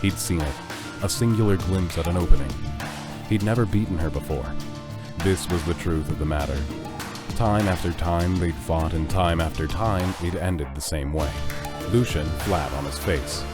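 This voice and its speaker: A man's voice: deep voice, moderate pace. A man narrating a scripted fantasy story as the narrator of an audio drama. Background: loud music.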